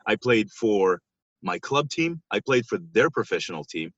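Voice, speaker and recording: A man's voice: fairly low pitch, big pitch swings, normal speed, a bit bright, breathy edge, no echo, dull audio. A man in his thirties from Israel, giving conversational interview answers as a podcast guest over a band-limited call. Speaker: podcast guest, basketball coach.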